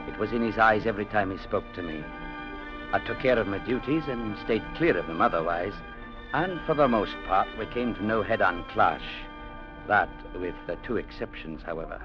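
An actor playing a courteous, quietly stubborn young Scottish newcomer in an old radio adventure drama. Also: male voice, fairly low pitch, natural voice. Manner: measured, courteous, quietly firm. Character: young Scottish newcomer clerk, polite but stubborn man. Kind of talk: 1940s-50s radio drama acting and narration. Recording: dull old radio broadcast.

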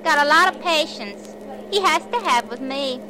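A woman's voice: high voice, expressive, normal speed, a crowd in the background. An actress playing a dim, chatty young gangster's girlfriend in a girlish, naive way, in 1950s radio drama style. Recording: noisy old radio recording.

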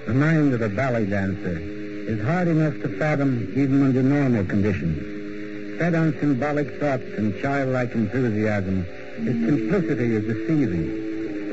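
A man narrating a 1940s radio mystery in a measured, grave manner. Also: medium pitch. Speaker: story narrator. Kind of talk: scripted narration. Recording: muffled old radio broadcast with hiss.

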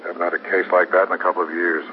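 Low-voiced detective lieutenant played by a man. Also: flat delivery, very fast, lightly acted. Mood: businesslike, worried. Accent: American. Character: businesslike, worried male police detective lieutenant. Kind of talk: 1950s radio drama acting. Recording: dull 1950s radio with faint noise.

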